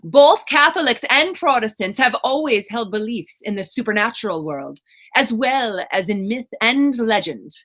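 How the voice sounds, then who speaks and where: A woman's voice: on the high side, animated, normal speed, fairly bright tone. An actress playing a proud, defiant woman reputed to be a witch, taunting in folksy dialect in a stage reading. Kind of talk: stage readers theater acting.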